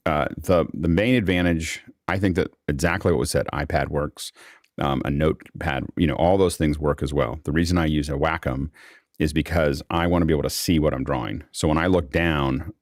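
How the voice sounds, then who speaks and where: A man with an extremely low voice hosts a casual tech discussion. He explains things in a rambling way, circling back to restart his phrases. The sound is close and dry. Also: fairly even intonation, a little fast, dry recording. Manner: rambling, repeats phrase openings. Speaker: host of an online panel discussion.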